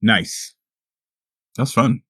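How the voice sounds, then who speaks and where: A man playing a tabletop roleplaying game, chatting casually and often repeating his words as he thinks out loud. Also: takes it slowly, no echo, clean studio sound. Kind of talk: casual table chat and in-character play.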